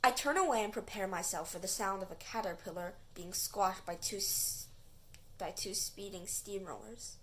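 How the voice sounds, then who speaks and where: A woman reading a children's book aloud in an expressive, dramatic way. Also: medium pitch, moderate pace, a little hiss or hum. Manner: animated, dramatic dialogue. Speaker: storybook reader.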